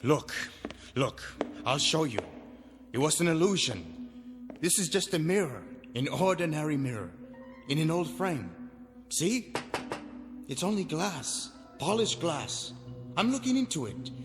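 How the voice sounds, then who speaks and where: An actor playing a proud, earnest revolutionary lieutenant in a 1960s television drama, speaking with emphatic, theatrical insistence. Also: male voice, fairly high pitch, big pitch swings, a little slow, pauses often. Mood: urgent pleading. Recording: old TV soundtrack, echoing, loud music behind.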